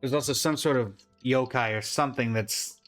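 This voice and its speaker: A man's voice: medium pitch, moderate pace, a bit bright, faint music behind. A man giving casual reaction commentary on a game, musing aloud with wry asides.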